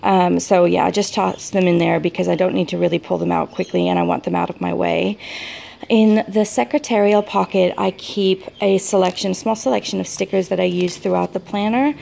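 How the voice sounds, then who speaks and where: An American woman casually talking through a show-and-tell, rambling a little hesitantly, with gentle enthusiasm for small details. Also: lowish voice, fairly even intonation, brisk, vocal fry, slight background noise. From United States. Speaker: vlogger showing a planner setup. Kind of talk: casual product walk-through.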